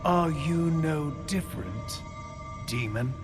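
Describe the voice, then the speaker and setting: An actor playing a grandiose alien religious leader in a video game cutscene, with a pompous, theatrical delivery. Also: male voice, fairly high pitch, expressive. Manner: pompous, theatrical, measured monologuing. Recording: loud music behind the voice.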